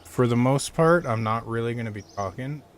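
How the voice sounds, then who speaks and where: A man casually chatting to his stream viewers in a low-key, hesitant way. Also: fairly quick.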